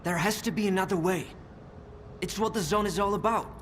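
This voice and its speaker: A wary stalker in a game cutscene. His voice is a little high, frustrated and pessimistic, with faint noise around it. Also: brisk, fairly bright tone. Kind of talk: dramatic video game acting.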